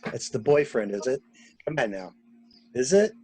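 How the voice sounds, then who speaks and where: A man acting a sharp, sardonic stranded traveler who probes insistently, in a dramatic mystery reading. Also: medium pitch, very animated, moderate pace, slight background noise, close to an everyday voice. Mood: probing, sardonic. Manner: probing, insistent, theatrical. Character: stranded male traveler turned sharp, sardonic amateur interrogator.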